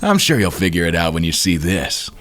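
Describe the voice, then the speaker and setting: A man's voice: sits low, big pitch swings, fairly quick, a bit bright. A man voicing a cocky, mocking villain from a comic with theatrical, taunting delivery. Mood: boastful. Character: cocky, mocking villain feared as a merciless angel.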